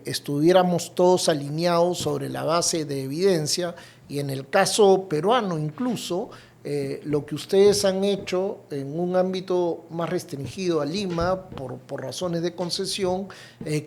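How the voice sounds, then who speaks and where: A man hosting a policy podcast interview, making his points in a measured, analytical way. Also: a little high, creaky, a little mellow.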